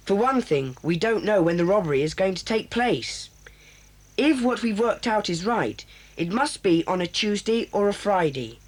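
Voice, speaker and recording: An actor playing an earnest boy who leads a children's club in a radio drama, laying out plans with confidence. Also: sits high. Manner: earnest, planning, in charge.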